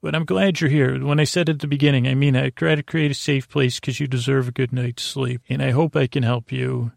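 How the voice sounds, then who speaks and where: Man, heavy gravelly creak, meandering bedtime monologue, halting and self-interrupting, soothing, close dry sound. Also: mid-range voice, steady tone, dry recording. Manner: lulling, soothing, friendly. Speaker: podcast host. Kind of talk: rambling bedtime monologue to help listeners sleep.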